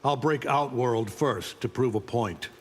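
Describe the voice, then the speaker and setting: An actor playing an arrogant middle-aged alien superhuman conqueror in a video game, imperious, threatening and condescending. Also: male voice, mid-range voice, unhurried, husky, faint music behind. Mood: cold, domineering. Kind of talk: video game fight-intro dialogue. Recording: long hall-like reverb.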